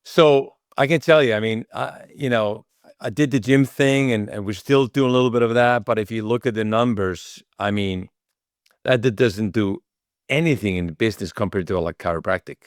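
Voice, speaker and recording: A man's voice: lowish voice, moderate pace, no echo, clean studio sound. A man from Iceland with an Icelandic accent, answering interview questions in a casual, rambling way and often voicing quoted remarks. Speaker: interview guest, chiropractic clinic owner.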